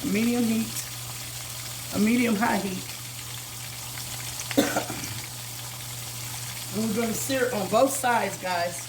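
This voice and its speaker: A woman hosting a casual home cooking vlog, chatty and repetitive, her voice slightly hoarse from a recent illness. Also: on the high side, takes it slowly, pauses often, noisy background. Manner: chatty, says "guys" constantly, repeats herself. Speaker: cooking channel host. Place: home kitchen. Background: food sizzling in a pan.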